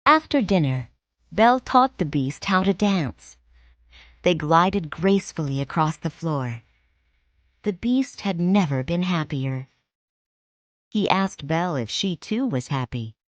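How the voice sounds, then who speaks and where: A woman narrating a children's read-along storybook in a clear, expressive way. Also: on the low side, a little slow, dry recording. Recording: music playing behind.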